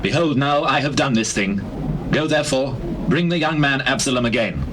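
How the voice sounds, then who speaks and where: A biblical king played by a man speaks with measured authority. His medium-pitched voice is grave and formal, in the archaic style of scripture drama. A faint noise lies under the recording. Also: close to an everyday voice. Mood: commanding. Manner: measured, commanding.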